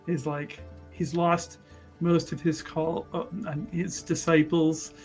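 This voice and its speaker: A tabletop game player improvising what their character does, in halting, restarted phrases. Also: mid-range voice, flat delivery, soft background music. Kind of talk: improvised description of own character's actions.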